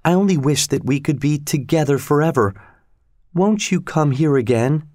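A man's voice: fairly high pitch, normal speed, a little mellow, no echo, studio quality, pitched above the performer's own voice, close to an everyday voice. A man voicing a dying young woman, bitter and passionate, who speaks in a plaintive, dramatic way for a storybook. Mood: tender, pleading.

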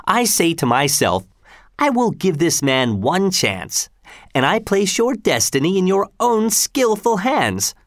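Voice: medium pitch, very animated, unhurried, dry recording, clean studio sound, voice pushed up. A narrator voicing a cruel male tyrant governor in an audiobook, mocking, commanding and falsely reasonable. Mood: impatient, self-satisfied.

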